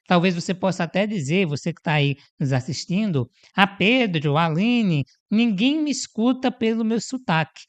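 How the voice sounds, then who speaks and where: A man hosting a podcast interview who speaks expressively and stretches phrases with dramatic pauses. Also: high-pitched, dull audio. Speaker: podcast host.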